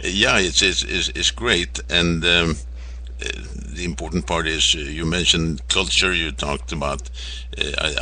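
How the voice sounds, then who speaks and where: Really deep voiced guy interviewing someone, very bright forceful tone, kinda halting and wordy. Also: slight background noise. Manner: halting, wordy, rephrases his questions. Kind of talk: informal interview questioning.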